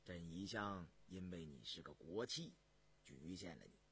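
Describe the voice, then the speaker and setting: A man voicing a weary ageing Chinese emperor in a storybook reading, low, breathy and hushed, speaking slowly with commanding deliberation. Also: lively intonation, full character voice. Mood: measured, approving. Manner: slow, deliberate, imperial.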